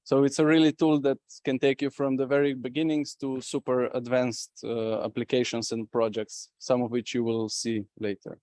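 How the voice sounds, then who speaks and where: A man's voice: mid-range voice, little pitch movement, normal speed, hardly any pauses, a little mellow, no echo. A man from Slovenia giving a conference presentation as a company representative, talking with many fillers and restarts.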